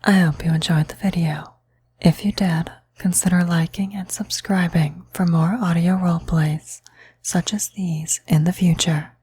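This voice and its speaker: Husky, breathy woman doing ASMR. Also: lowish voice, fairly even intonation, measured pace, warm tone. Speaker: ASMR roleplay creator. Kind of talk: whispery ASMR video sign-off.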